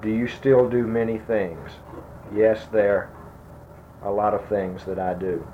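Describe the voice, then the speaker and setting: A man in his sixties, speaking softly and slowly as he asks grammar fill-in-the-blank questions, his sentences trailing off. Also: on the low side, steady tone. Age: 60s. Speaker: interviewer giving a grammar quiz. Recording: dull lo-fi tape with faint noise.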